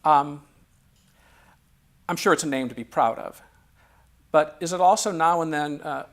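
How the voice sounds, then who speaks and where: A man hosting a relaxed interview, asking questions with frequent pauses, ums and restarts. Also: a little high. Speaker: interviewer and host.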